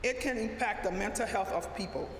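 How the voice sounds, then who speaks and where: A middle-aged woman from Liberia, reading a sermon in a measured, deliberate way with a few restarts. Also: mid-range voice. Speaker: lay preacher, social worker. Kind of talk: sermon read from a prepared text. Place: church sanctuary. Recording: echoey church hall, faint background hum.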